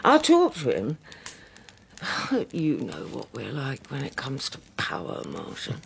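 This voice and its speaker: An actress playing a proud, weary ageing queen in a historical audio drama, imperious and dryly sharp-tongued. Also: a bass voice, wildly swooping pitch, measured pace, vocal fry, slight background noise, exaggerated voice. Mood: weary, wry. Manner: imperious, dry, wry. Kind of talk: British-made historical radio drama acting.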